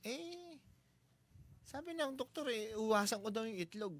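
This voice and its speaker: A man with a Filipino accent, co-hosting a radio show with excitable, joking interjections and banter. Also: extremely high pitch, unhurried. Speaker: radio co-host. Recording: music playing behind the voice. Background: music bed.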